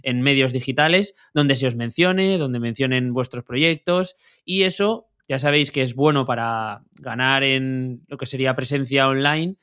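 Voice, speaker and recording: A man co-hosting a podcast, reading a sponsor spot and chatting with a guest in a relaxed way with many hesitations. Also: mid-range voice, moderate pace, hardly any pauses, clear, resonant tone. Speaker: podcast co-host. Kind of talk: ad read and casual interview.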